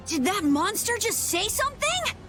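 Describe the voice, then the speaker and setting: A woman's voice: extremely high pitch, big pitch swings, moderate pace, music in the background. A woman voicing an earnest, anxious young boy adventurer in a video game dub. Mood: surprised.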